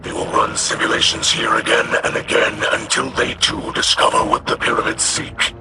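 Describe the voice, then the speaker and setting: An actor playing a courteous, scholarly alien in a video game, speaking formally and haltingly in a breathy, whispery voice. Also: male voice, on the low side, wildly swooping pitch, full character voice. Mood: solemn, reverent. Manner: formal, halting, deliberate delivery. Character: eerie, courteous, scholarly alien male, solemn and curious. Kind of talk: scripted video game dialogue. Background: music.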